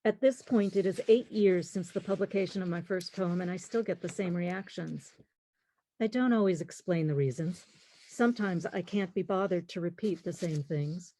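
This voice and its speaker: Mellow-voiced woman giving a measured lecture. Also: on the low side, poor audio. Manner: measured, deliberate reading with pauses. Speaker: lecturer on literature. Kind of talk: prepared lecture, reading quotes and poems aloud.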